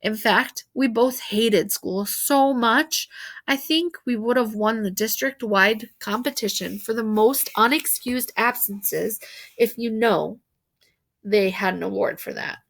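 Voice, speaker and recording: High-voiced woman reading a story aloud. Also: takes it slowly, creaky, a bit bright. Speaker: storybook reader. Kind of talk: expressive read-aloud narration of a children's novel.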